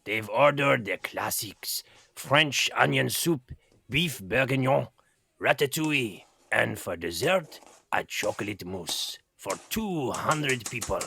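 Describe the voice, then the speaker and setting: A man playing a bossy, self-important head chef in a children's audio drama, with a husky, gravelly voice and brusque manner. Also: medium pitch, lively intonation, slow, sharp tone, full character voice. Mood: brusque, demanding. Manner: pompous, brusque.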